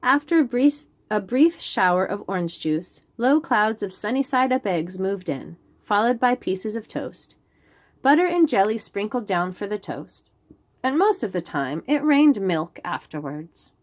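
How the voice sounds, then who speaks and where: A woman reading a picture book aloud to young children in an expressive way, with chatty asides and questions about the pictures. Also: medium pitch. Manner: expressive, pauses to point out pictures and ask questions. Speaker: children's read-aloud host.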